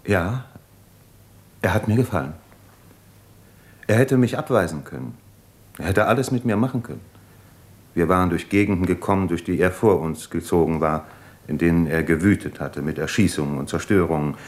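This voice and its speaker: An actor in a 1950s German radio drama playing a tormented, thoughtful Russian doctor in love, speaking in a measured, articulate way. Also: male voice, low voice, lightly acted. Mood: earnest, reflective.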